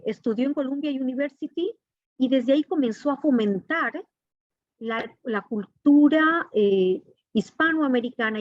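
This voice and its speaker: Woman, bit high voice, earnest formal interview answers, kinda dull lo-fi sound. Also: a little mellow. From Ecuador. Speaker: interview guest, artist and professor. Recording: dull, echoey lo-fi call audio.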